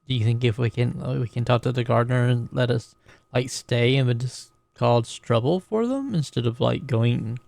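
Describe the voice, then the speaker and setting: Male player, fry-edged voice, dry sound, tentative improvised game chat. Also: medium pitch, normal speed, a little mellow, dry recording. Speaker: tabletop roleplay player. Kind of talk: casual in-character improvised game talk.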